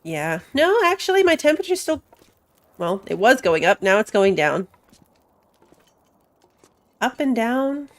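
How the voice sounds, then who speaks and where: A woman's voice: a little high, big pitch swings, a little slow, long pauses. Chatty woman streaming a game. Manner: chatty, thinking aloud. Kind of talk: casual live gameplay commentary.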